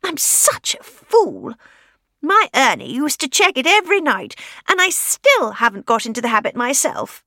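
A woman voicing a feisty widow from a wartime village, loud and dramatic in her exclamations. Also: high-pitched, huge pitch swings, normal speed, no echo, clean studio sound, pitched above the performer's own voice, full character voice. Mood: agitated.

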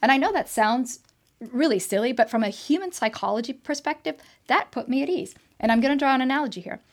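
Animated, chatty woman explaining tech. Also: high-pitched, fast talker. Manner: animated, talkative explainer, frequent fillers and restarts. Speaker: tech expert guest. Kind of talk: casual interview chat. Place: trade show floor.

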